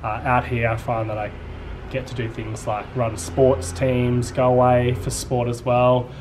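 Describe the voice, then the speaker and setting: A man's voice: mid-range voice, measured pace, noisy background. A young Australian man, a primary teacher from New South Wales, talking casually to camera in a classroom with plenty of ums. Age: young adult. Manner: relaxed, with frequent ums and uhs. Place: classroom with space posters.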